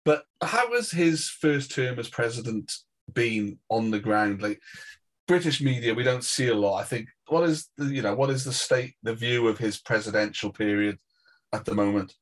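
A man's voice: medium pitch, expressive, normal speed. A man from Wales hosting a discussion panel, asking questions in a casual way.

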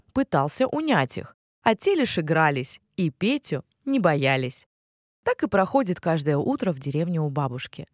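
A woman reading a children's fairy tale aloud in a soft, expressive, sing-song rhythm. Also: lowish voice, dry recording, studio quality. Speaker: storyteller.

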